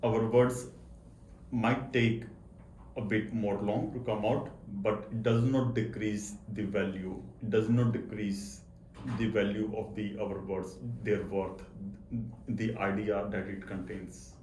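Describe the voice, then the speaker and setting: A man with a low voice and a stutter answers interview questions. He repeats words and halts as he explains himself earnestly. The room is echoey, with faint noise behind him. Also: little pitch movement, takes it slowly, darkish tone. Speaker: interview guest, accountant. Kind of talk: personal interview talk.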